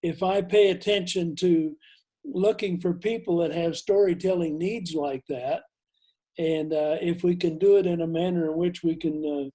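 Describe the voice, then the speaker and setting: Halting man with a mellow voice. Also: fairly high pitch, steady tone, rough recording. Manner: halting, with many restarts. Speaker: photographer. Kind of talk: casual discussion on a video call.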